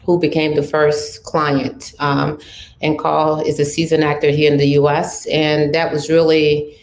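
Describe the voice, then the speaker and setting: Woman, very low flat voice, echoey room, faint background hum. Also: a little slow. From Cameroon, raised in Paris. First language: French. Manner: casual, with many fillers and restarts. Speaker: panelist, talent manager.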